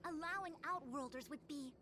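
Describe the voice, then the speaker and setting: A woman's voice: high voice, normal speed, noisy background, lightly acted. A woman playing a proud, exacting young master diviner and commander in a video game, speaking formally and imperiously. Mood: indignant. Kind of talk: scripted game dialogue, English dub. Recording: game music behind the voice. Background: background game music.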